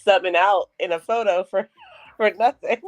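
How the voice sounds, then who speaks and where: A woman with a low voice, co-hosting a casual podcast chat, frank and profane and quick to laugh. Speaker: podcast co-host.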